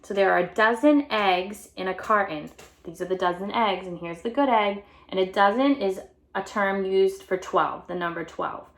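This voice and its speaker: A woman's voice: medium pitch, moderate pace, a bit bright. Female read-aloud teacher, mid-range voice, explaining the story to little kids in simple, repeated phrases. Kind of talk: explaining a picture book to young children.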